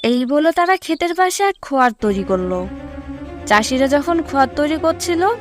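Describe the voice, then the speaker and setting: A woman's voice: sits high, sing-song, moderate pace. A woman narrating a scripted fable for children as a storyteller. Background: music.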